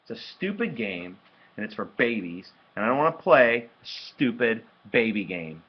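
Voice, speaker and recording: A man reading a storybook aloud, voicing an angry, sullen young boy in a loud, emphatic, petulant way. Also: fairly low pitch, big pitch swings, moderate pace, slightly rough, dull audio. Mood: defiant, scornful.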